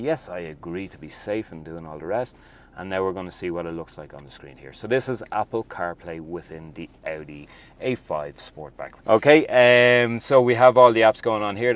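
A man demonstrating a car's infotainment system from inside a parked car, chatty and enthusiastic as he talks to viewers. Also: low voice. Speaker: car reviewer. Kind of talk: casual video walkthrough.

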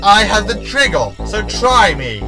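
A haughty, murderous hologram played by a man in a sci-fi audio comedy, over loud music. The voice is high for a male, theatrical and menacing, with slow delivery and swooping intonation. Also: very animated, exaggerated voice. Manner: theatrical, menacing, breaking into Latin. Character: homicidal haughty male hologram, insulting, spouting Latin. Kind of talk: science fiction comedy audio drama.

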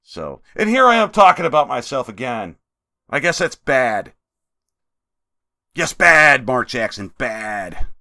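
A man commenting over a video game in a casual, joking, self-mocking way with sudden loud swings. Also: medium pitch, very animated, slow pace, creaky, long pauses, no echo, clean studio sound. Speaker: gaming YouTuber. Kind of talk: casual let's-play commentary.

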